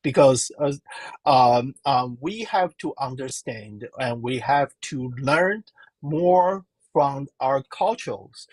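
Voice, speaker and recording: A slow-spoken woman gives interview answers in a husky voice. She halts often and fills the gaps while she searches for her words. The sound is dry and close. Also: a bass voice, expressive, darkish tone, dry recording, low-quality recording. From Taiwan. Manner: halting, searching for words, many fillers. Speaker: professor and guest.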